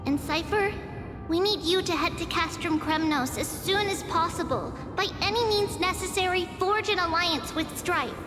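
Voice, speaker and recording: A tiny, tender-hearted demigod girl from a game. The voice is extremely high and childlike, earnest and full of feeling. It rings in a long reverb with music playing behind. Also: moderate pace. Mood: earnest, determined. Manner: earnest, youthful, emotive. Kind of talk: dramatic game voice acting.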